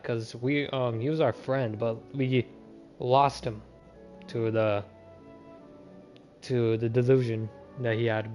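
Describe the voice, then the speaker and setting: A man livestreaming a game, chatting informally with viewers and often restarting or repeating his words. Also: medium pitch. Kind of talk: casual livestream chat and game commentary.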